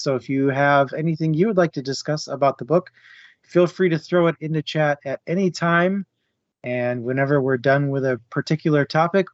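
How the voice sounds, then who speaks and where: A man's voice: fairly high pitch, no room sound. Dull, dry-sounding man hosting a discussion. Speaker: host of a book discussion show. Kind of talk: casual book discussion for a live stream.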